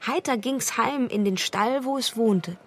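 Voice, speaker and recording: Slow, tender poetry recital. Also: female voice, fairly high pitch, husky. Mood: tender consoling.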